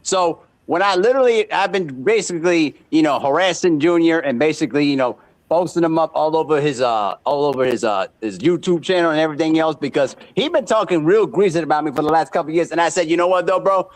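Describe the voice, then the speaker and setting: Animated, boastful man in his thirties. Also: fairly high pitch, fast. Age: 30s. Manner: boastful trash talk, repeats himself. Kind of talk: casual podcast banter.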